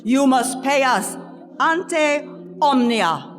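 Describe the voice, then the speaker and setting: Shrewd theatrical swindler female actor, puppet-show boss in a period comedy, deliberate pace, high register. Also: animated, exaggerated voice. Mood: wheedling, insistent. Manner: declamatory, deliberate. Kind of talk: theatrical reading of a comic period play. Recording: reverberant hall, faint music.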